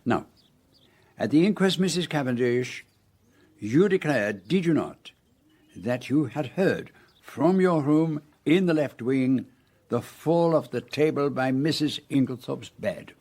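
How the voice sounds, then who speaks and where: A middle-aged Belgian gentleman detective in a radio play. His French-tinged accent frames formal, precise sentences at a medium pitch, delivered with theatrical courtesy. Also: lively intonation, measured pace. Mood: measured, expository. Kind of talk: radio drama acting.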